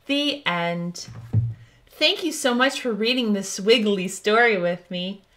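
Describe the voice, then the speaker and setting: A woman hosting a children's storytime, reading a picture book aloud in an expressive, deliberate way. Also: medium pitch. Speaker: host of children's read-aloud show.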